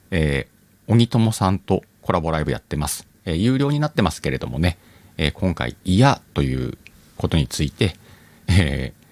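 Very low, lively male host. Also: no room sound. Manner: informal, addresses listeners often. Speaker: channel host. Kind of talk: casual chatty announcement.

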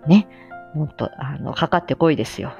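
Low-pitched woman chatting on a livestream. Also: lively intonation, a little fast, a little mellow, dull audio, soft background music. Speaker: livestream host, amateur voice actor. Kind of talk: casual livestream chat.

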